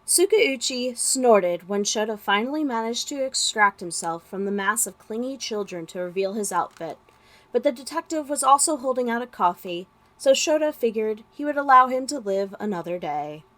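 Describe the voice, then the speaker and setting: A woman reading a story as narrator, with expressive, dramatic delivery. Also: a little high, moderate pace. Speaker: podfic narrator.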